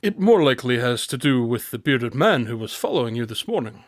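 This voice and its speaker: An actor playing a shrewd, composed detective in an audio drama, speaking in a measured, analytical and assured way. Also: male voice, mid-range voice, big pitch swings, no echo, clean studio sound, lightly acted. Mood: probing, composed.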